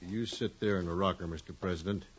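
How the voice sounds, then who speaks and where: A man's voice: deep voice, steady tone, fast, close to an everyday voice. An actor playing a bored, plain-spoken policeman on guard duty in a 1950s radio drama. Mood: dutiful. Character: bored, fidgety policeman guarding a theater box. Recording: noisy, dull 1950s radio recording.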